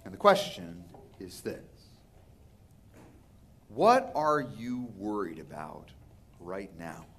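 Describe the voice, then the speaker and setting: A man's voice: low-pitched, huge pitch swings, takes it slowly, pauses often. A man in his thirties with a Texan accent, preaching a sermon earnestly from a church pulpit. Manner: earnest preaching with rising and falling emphasis. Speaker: pastor. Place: large church sanctuary, indoors. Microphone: pulpit microphone.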